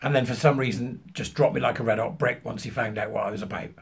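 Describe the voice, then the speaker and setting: A British man in his fifties telling an anecdote to camera, emphatically acting out both sides of a conversation in a husky voice. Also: medium pitch, fairly even intonation, fast talker. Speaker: vlogger. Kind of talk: animated anecdote told to camera. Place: small furnished room at home, indoors.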